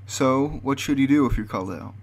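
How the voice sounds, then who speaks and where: A man's voice: mid-range voice, brisk, low-quality recording. A man narrating a scripted explanatory video.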